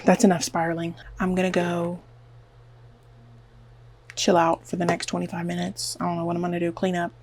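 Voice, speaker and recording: A woman's voice: lowish voice, monotone, brisk, long pauses, darkish tone. A woman with a Southern US accent chatting to her camera at home in a rambling, nervous, self-mocking way, with lots of "like". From American South. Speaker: beauty vlogger. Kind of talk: rambling casual vlog chat to camera. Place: at home, self-filmed while dyeing hair.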